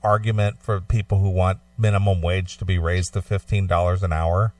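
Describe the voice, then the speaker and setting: A man co-hosting a casual, opinionated radio discussion, explaining his points haltingly and often repeating phrases. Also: sits low, flat delivery, darkish tone, no room sound, distant mic. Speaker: talk show co-host.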